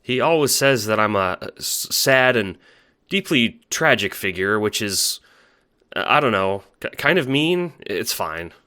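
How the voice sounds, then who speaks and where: A man's voice: lowish voice, lively intonation, measured pace, sharp tone, clean tone. A man playing a meek, earnest young male troll who talks with a hesitant, apologetic stammer in an audio drama monologue. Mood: unsure, wistful. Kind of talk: audio drama monologue, recounting adventures as a log.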